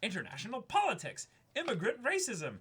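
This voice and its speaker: Bright-voiced young man reading aloud. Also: very high-pitched, huge pitch swings, a little fast, husky. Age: young adult. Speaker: co-host of an unboxing show. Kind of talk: reading a letter aloud with casual asides. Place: small indoor room, seated before a backdrop.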